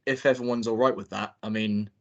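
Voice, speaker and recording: A man's voice: fairly low pitch, fairly even intonation, a little fast, fairly bright tone, highs cut off. A young man directing a student film, talking hesitantly with many fillers. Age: young adult. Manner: hesitant, full of fillers and restarts. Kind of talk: casual planning call.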